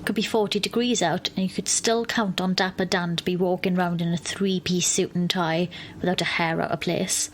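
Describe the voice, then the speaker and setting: A woman's voice: on the low side, steady tone, normal speed, hardly any pauses, noisy background, lightly acted. An actress playing an exasperated, wry young woman who narrates an audio drama murder mystery. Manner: wry, sardonic.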